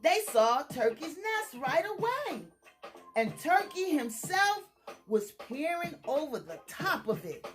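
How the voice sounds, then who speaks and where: High-pitched, slow woman storyteller, very animated. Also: over music. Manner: expressive, dramatic, drawn-out words. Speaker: storyteller reading a children's book aloud. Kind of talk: animated read-aloud storytime for children.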